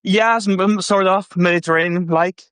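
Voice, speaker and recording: A Chilean man in his thirties speaks as a caller with a Spanish accent. His voice is on the higher side for a man, and he explains things conversationally in a halting, hesitant way. The sound is dry. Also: steady tone, a bit bright, clear, resonant tone, no echo. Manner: hesitant, halting second-language English.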